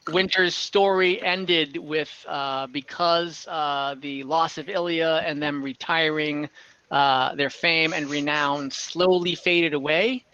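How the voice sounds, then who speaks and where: Male game master, fairly high bright voice, conversational explainer, slightly dull online-call sound. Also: steady tone, slow, clear, resonant tone, dry recording. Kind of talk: narrating an online tabletop fantasy game.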